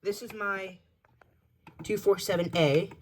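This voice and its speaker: A woman's voice: medium pitch, fairly even intonation, slow. A woman giving a casual, matter-of-fact show-and-tell of a hobby collection in short, clipped phrases, recorded indoors at home.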